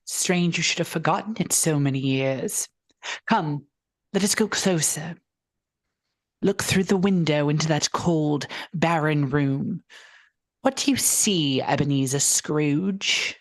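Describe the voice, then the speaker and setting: Female spirit acted by a woman, slow, low-set, calm, commanding, formal radio drama delivery, dry sound. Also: no room sound. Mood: grave, probing. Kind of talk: old-time radio drama acting.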